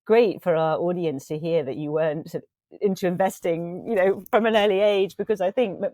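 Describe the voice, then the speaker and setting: Female interview host: soft-edged, relaxed timbre, courteous and encouraging delivery, very dry sound. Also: low voice, no room sound. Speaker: podcast host. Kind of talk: warm scripted welcome and conversational interviewing.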